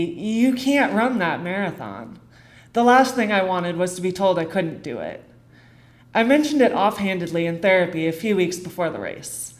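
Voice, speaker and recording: A young American woman reading a rehearsed personal essay on stage, in an earnest, deliberate delivery with short phrases and dramatic pauses. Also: mid-range voice. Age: young adult. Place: theater stage.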